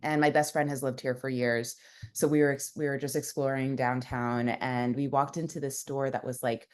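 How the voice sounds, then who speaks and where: A woman's voice: very deep voice, little pitch movement, normal speed. A young woman, a founder, telling her story in a casual interview, rambling with many fillers. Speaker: founder and guest.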